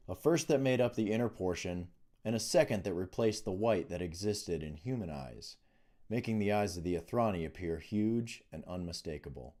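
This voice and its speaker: A man narrates a fantasy novel as its author, reading from the written text. His voice sits low, with a dark, soft-edged timbre. The delivery is that of scripted audiobook storytelling. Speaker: author reading own book.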